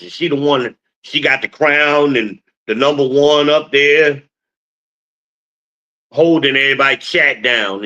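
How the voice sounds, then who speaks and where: A deep-voiced man from New Jersey hosting a casual livestream, telling stories and calling on the chat like a preacher asking for an amen. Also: bright, forceful tone, dull audio. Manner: preacher-like call and response with the chat. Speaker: podcast host.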